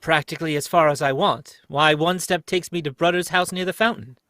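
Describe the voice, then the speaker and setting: A man's voice: a little high, brisk, a bit bright, no echo, clean studio sound, lightly acted. A young man voicing a devoted, anxious wife and mother in a live radio play reading, earnest and melodramatic. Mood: curious, dismayed. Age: young adult. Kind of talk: live amateur reading of old radio script.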